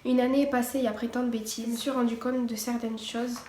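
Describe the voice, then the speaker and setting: A woman's voice: a little high, little pitch movement, fast, dark tone. A teenage girl giving a casual personal testimony, partly read from notes, hesitant with many fillers and restarts. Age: teens. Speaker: vlogger. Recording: echoey room, faint background noise.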